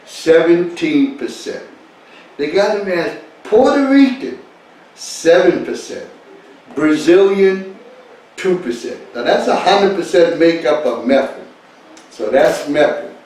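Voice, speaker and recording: A man's voice: a little high, darkish tone. A man giving an informal, rambling talk to listeners, repeating phrases for emphasis with an easy chuckle. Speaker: speaker leading a talk. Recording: echoey, distant mic, faint noise.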